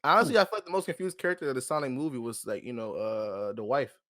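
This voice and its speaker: A man's voice: medium pitch, fast talker. Slangy man chatting about movies. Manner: slangy, conversational, animated opinions. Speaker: podcast co-host. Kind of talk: casual movie discussion chat.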